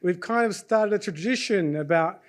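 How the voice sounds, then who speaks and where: A man's voice: high-pitched, moderate pace. A man from Australia with an Australian accent, hosting a university presentation session in a chatty way, often checking in with the audience and quizzing them. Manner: chatty, keeps checking in with "right?", quizzes the crowd. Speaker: host of a student presentation event. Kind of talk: lively event hosting before an audience. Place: university lecture hall.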